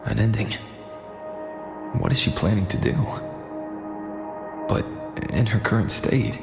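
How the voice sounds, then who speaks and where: An actor voicing an earnest, concerned young male hero in a video game, measured and a little hesitant. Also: fairly low pitch, creaky, music in the background. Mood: worried.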